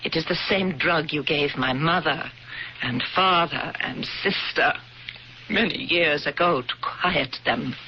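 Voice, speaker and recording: An actress playing a calm, cold woman taking revenge as a Holocaust survivor, in an old radio drama. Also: sits low. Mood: icily accusing. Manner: measured, icy, deliberate. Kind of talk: 1970s radio drama acting. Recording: dull old radio broadcast with hiss.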